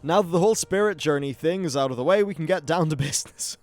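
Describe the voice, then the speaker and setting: A man's voice: a little high, fast talker. A man hosting a gaming video with casual commentary and wry, sweary asides.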